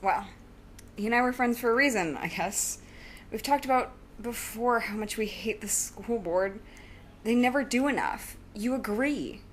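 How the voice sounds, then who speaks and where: A woman's voice: on the high side, moderate pace, slight background noise, close to an everyday voice. A young woman playing a driven, defiant student supervillain and inventor in an audio drama, speaking with insistent earnestness. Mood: righteous, insistent.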